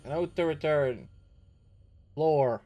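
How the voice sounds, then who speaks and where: A man's voice: fairly high pitch, slow. Casual male streamer, music behind. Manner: informal, slangy, thinking out loud. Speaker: streamer reacting to a game story. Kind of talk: casual live reaction commentary.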